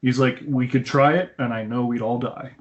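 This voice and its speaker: A man's voice: medium pitch, steady tone, moderate pace, crowd noise. A man co-hosting a podcast in casual film discussion, explaining things and retelling scenes in detail over an internet call. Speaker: podcast co-host. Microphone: Skype call. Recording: dull, lo-fi internet call audio.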